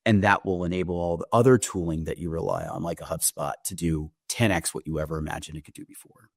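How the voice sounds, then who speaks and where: A man's voice: low-pitched, fairly even intonation, fast talker, creaky, husky, no room sound, studio quality. A man giving a conversational product demo, explaining things step by step with the odd check question. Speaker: company founder giving a software demo.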